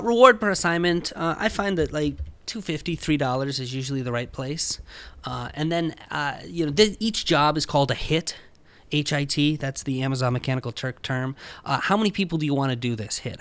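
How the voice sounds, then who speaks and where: A man's voice: medium pitch, a bit bright, no echo. A man presenting a casual screen-share tutorial, talking informally through each step.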